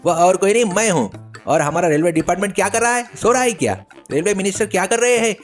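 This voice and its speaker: A cocky, gullible village man from a comic storybook tale, acted out by a male narrator, pitched very high. He rants and exclaims as if he were very important, with music playing underneath. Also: animated, fast. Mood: outraged ranting. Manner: ranting, self-important, exclamatory.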